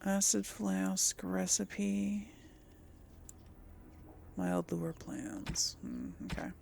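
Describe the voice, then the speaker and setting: A woman's voice: mid-range voice, wildly swooping pitch, very slow, gravelly, a little mellow, slight background noise. A woman giving casual, chatty gameplay commentary as host, musing aloud and often trailing off. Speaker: gaming video host.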